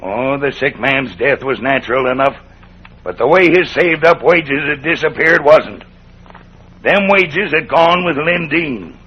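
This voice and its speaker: An actor playing a stern, stubborn cowboy camp cook in an old western radio drama, speaking in a plain, firm drawl with a husky voice. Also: male voice, a little high, unhurried. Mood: grave, defiant. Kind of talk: 1940s-50s western radio acting. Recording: dull old radio recording.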